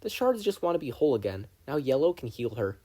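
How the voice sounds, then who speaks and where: A story narrator voicing a mild, evasive teenage boy in a casual, slightly halting way. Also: mid-range voice, big pitch swings, dark tone. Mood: gentle.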